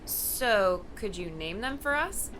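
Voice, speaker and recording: A curious, teasing young woman in an audiobook, acted out by a man. The pitch is lifted well up and swings freely, with a bright edge and eager, polite phrasing. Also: sing-song, takes it slowly, a little hiss or hum, heavily acted. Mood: curious, prodding.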